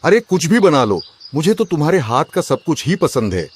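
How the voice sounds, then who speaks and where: A man playing an agitated, suspicious rich merchant husband in a cartoon, loud and complaining. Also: fairly high pitch, sing-song, normal speed, background noise. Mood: casual, agreeable. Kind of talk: Hindi cartoon story voice acting.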